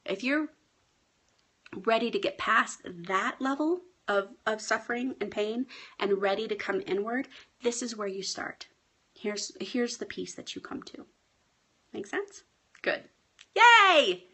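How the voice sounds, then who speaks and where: Hoarse chatty woman life coach. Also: fairly high pitch, lively intonation, unhurried, long pauses, bright, forceful tone. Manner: chatty, often asks "right?". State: hoarse, clearing her throat. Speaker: life coach hosting a live stream. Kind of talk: casual coaching talk to viewers. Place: at home with pets.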